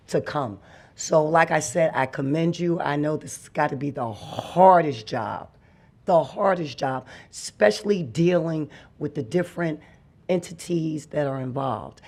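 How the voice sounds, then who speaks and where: A speaker with a raspy voice giving a heartfelt public comment at a podium microphone in a meeting hall. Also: mid-range voice, darkish tone. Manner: slow, heartfelt, builds to emphatic.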